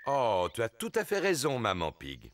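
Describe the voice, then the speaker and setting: A man's voice: mid-range voice, wildly swooping pitch, normal speed. A man voicing a jovial, easygoing daddy pig who chuckles through a children's cartoon. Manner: chuckling, unbothered, jolly. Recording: music behind the voice.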